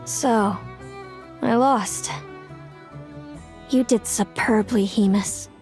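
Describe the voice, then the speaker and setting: Female game competitor: composed, measured, courteous, slow delivery, slightly high register. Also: soft background music. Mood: gracious, accepting. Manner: calm, measured, gracious. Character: composed, gracious female creature-trainer competitor. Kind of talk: scripted video game voice acting.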